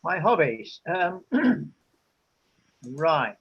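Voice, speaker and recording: An older man from England answering interview questions in an unhurried, hesitant way, with many fillers. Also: fairly high pitch, very animated, husky, dull audio.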